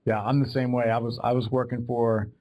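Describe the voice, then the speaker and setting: A man's voice: mid-range voice, flat delivery, a little fast, a little mellow. A man hosting a podcast interview, chatting in an agreeable, conversational way and often repeating words. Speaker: podcast host. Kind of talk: casual interview talk.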